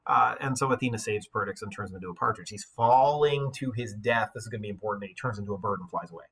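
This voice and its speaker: A man's voice: fairly low pitch, fast talker, gravelly, a bit bright, a little hiss or hum. A man working as a teacher, reading a story aloud like a storybook and explaining it in casual, conversational asides.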